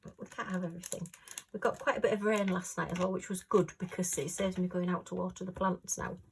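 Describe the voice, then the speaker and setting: Chatty woman, slightly hoarse. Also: fairly low pitch, fairly even intonation, fast, slight background noise. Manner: chatty, rambling, homely, with frequent fillers. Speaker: crafting vlogger. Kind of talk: casual chat while crafting. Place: at home.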